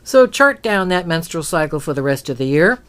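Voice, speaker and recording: Low-pitched female talk host, emphatic and preachy, fond of rhetorical questions. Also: very animated, brisk. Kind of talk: opinionated spiritual talk.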